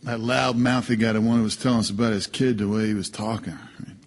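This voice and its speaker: Low, husky working man, acted by a man. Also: fairly even intonation, quick pace, natural voice. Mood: casual. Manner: casual, blunt. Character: plain-spoken, easygoing working man juror. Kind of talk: stage radio drama.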